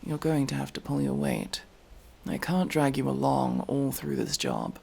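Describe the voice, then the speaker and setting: A man playing a guarded but kind armored adventurer in a fantasy roleplay audio drama, gravelly, dry and gently teasing. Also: mid-range voice, moderate pace. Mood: annoyed. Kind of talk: immersive roleplay audio drama, one-sided conversation.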